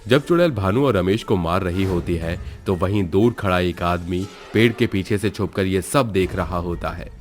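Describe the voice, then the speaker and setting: Deep-voiced male horror story narrator. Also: normal speed, hardly any pauses, soft background music. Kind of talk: scripted dramatic horror narration in Hindi.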